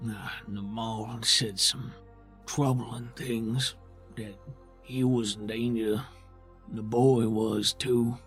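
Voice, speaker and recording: A man acts out a weary rustic creature in a tabletop roleplaying game. The speech is very slow and halting, folksy and ungrammatical, protective in temper, with faint music underneath. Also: mid-range voice, gravelly. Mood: weary, uneasy.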